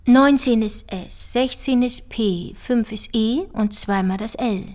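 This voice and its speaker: A clever female researcher and hacker in a radio drama. Her voice sits fairly high, and she sets things out in a precise, matter-of-fact way with a playful, teasing edge. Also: takes it slowly, a little mellow. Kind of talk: naturalistic radio drama dialogue.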